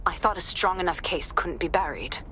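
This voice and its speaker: A woman's voice: on the low side, lively intonation, brisk, a bit bright, a little hiss or hum. A woman playing a hard-bitten, determined police inspector in a game, speaking firmly and bitterly. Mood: defensive, earnest. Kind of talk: game cutscene briefing.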